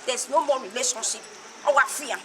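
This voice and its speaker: A woman's voice: sits high, a little fast, lightly acted. An actress playing an upset young woman angry about a protest, speaking Nigerian Pidgin in an agitated way. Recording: loud background noise.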